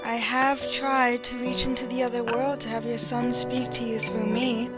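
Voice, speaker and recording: An actress playing a calm, mysterious spirit medium who speaks in a measured, ominous way in a vintage-style radio drama. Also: female voice, on the high side, lightly acted. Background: music.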